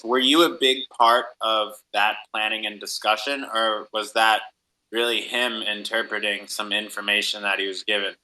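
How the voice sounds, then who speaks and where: A man's voice: lowish voice, sharp tone, rough recording. A man hosting a conversational podcast interview, asking questions of a guest. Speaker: interviewer and host.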